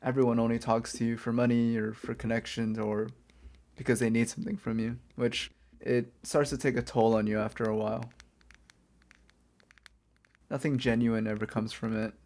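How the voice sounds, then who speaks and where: A man playing a lonely reformed supervillain kidnapper in an ASMR roleplay, speaking softly and casually to the listener in a hesitant, coaxing way. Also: mid-range voice, level tone, normal speed, close to an everyday voice. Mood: earnest, confiding. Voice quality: soft-spoken. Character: reformed male supervillain kidnapper, lonely, apologetic, gently friendly. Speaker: ASMR audio performer. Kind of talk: soft-spoken ASMR roleplay, addressing the listener.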